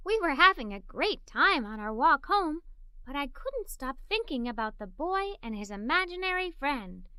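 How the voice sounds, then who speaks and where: A woman's voice: high-pitched, no room sound. A woman reading a children's story aloud expressively, in the first person as a curious, imaginative young girl. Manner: expressive, animated, with giggles. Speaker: storybook reader.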